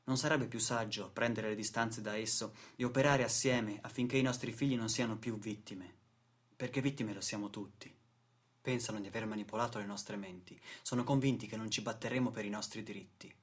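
A man's voice: fairly low pitch, steady tone, a little fast, slightly rough. A man delivering a scripted radio theatre monologue in a solemn, rhetorical, declamatory manner. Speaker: stage actor and narrator. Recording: music playing behind the voice. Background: music.

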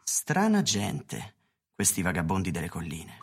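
A grave curious census taker, really raspy, voice moves around a lot, radio play. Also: low voice, wildly swooping pitch, unhurried, heavily acted. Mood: musing. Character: Grant, inquisitive census taker roaming the hills, grave and puzzled. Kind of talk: Italian radio drama acting.

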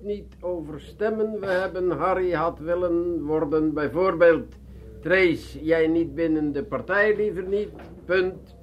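A slightly muffled, hissy recording of a dim but earnest secretary in a radio comedy. The voice is high, halting and literal-minded, easily offended. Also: male voice. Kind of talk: comic radio play acting.

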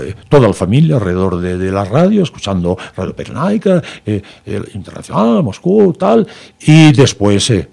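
A man's voice: medium pitch, gravelly, warm tone. A man in his seventies from Madrid, recalling family memories in an interview, hesitating often with fillers and repeated words. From Madrid, Spain. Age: 70s. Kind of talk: conversational testimony.

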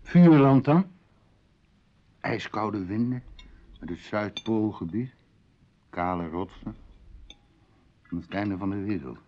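An actor in a Dutch radio drama playing an intrusive, flattering musician who is a dinner guest with secret desires. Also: male voice, lowish voice, takes it slowly, long pauses. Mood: insinuating. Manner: flattering, florid, provocative. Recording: muffled, noisy old radio play recording.

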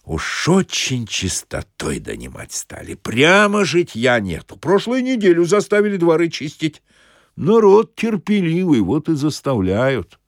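A man's voice: a little high, wildly swooping pitch, a little slow, dry recording, clean studio sound, voice pushed up. A man voicing a disgruntled, grumbling bathhouse customer with a rustic, griping manner, in an audiobook.

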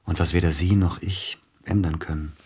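A deep, rough-edged male voice plays a bereaved concert pianist in a radio drama. He is formal and hesitant, brooding over his loss, with jealousy pressing through his courtesy. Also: steady tone, normal speed, darkish tone. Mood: melancholy, apologetic. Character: grieving, jealous widowed male pianist, courteous but probing. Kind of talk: German radio play acting.

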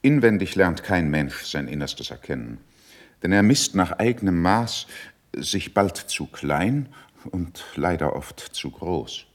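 A shrewd, condescending middle-aged statesman in a classical radio drama. His voice is low, with a widely swinging melody. He delivers his verse in a measured, dryly ironic and superior manner. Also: big pitch swings, heavily acted. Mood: cool, didactic. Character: cool, shrewd, condescending older male statesman. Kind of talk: classical German verse stage acting for radio play.

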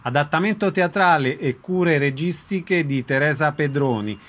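A man reading a scripted radio announcement in a measured, formal delivery, as the announcer of a programme. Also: a little high, expressive, fairly bright tone.

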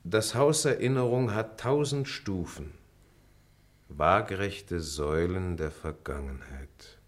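Low, measured middle-aged lawyer. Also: male voice, expressive. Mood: solemn. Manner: formal, measured, dry. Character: middle-aged male lawyer, composed, dryly witty former pupil. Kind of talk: German radio play acting, measured recitation.

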